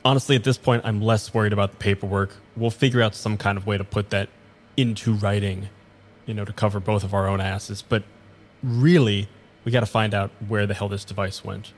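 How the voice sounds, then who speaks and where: A man's voice: fairly low pitch, fairly even intonation, fairly quick, slight background noise, lightly acted. A man playing a bossy, pragmatic agency investigator in an improvised audio drama. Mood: urgent, pragmatic.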